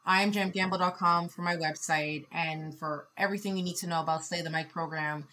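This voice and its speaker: A woman in her thirties speaking as a podcast guest in casual conversation, expressive and shifting between soft reflection and loud laughing energy. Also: fairly low pitch, fairly quick. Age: 30s.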